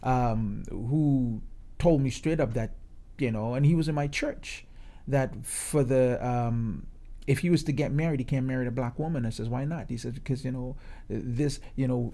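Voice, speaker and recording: A man's voice: mid-range voice, mellow. A man with a Guyanese accent talking conversationally in a radio discussion, emphatic and repeating phrases to drive points home.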